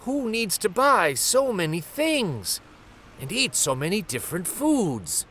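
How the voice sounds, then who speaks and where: A gentle old grandfather who once told stories in the street, acted out by a man reading a picture book. He muses nostalgically and slowly, with small hesitations, and his slightly raised voice rises and falls in a lively way. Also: high-pitched, a little hiss or hum, voice pushed up, full character voice. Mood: bewildered, grumbling. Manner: hesitant, musing, with little ums.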